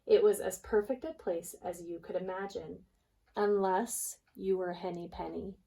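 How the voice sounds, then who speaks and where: A woman's voice: on the low side, moderate pace, creaky, warm tone, rough recording. A woman preschool teacher reading a storybook aloud for young children, explaining things expressively as she goes.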